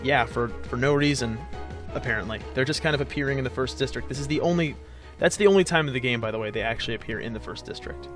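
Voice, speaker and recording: Low-key man rambling through game commentary. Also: quick pace, over music. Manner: hesitant, rambling explanations. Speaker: let's play host.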